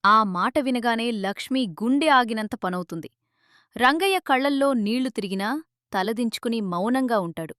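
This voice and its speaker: A woman narrating an animated drama story in a dramatic, expressive way. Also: on the high side, normal speed, dry recording, clean studio sound.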